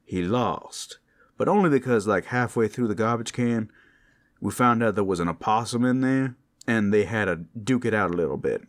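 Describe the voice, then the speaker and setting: A man playing a tender, protective werewolf lover in an intimate ASMR roleplay, speaking in halting, heartfelt phrases full of endearments. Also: lowish voice, natural voice. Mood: playful. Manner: soft endearments, halting heartfelt delivery, teasing asides. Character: tender, devoted, protective male werewolf lover working at a brothel.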